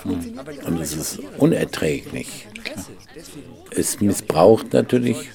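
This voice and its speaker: Deep voiced intellectual guy, musing out loud, detached and fascinated, tags questions onto the end. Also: expressive, slow pace, creaky, exaggerated voice. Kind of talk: naturalistic conversational radio drama.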